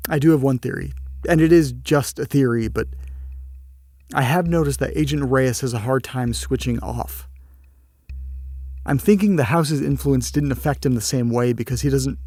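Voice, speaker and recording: An actor playing a polite field agent who reports on cases in a measured, slightly tentative way in an audio drama. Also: male voice, mid-range voice, darkish tone, dry recording, studio quality, close to an everyday voice. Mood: thoughtful, tentative.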